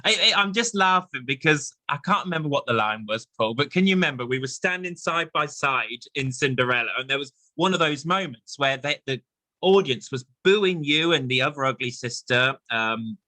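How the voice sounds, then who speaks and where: A man hosting a casual chat interview in a chatty, animated way. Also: medium pitch, normal speed, no room sound. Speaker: interviewer, chat show host.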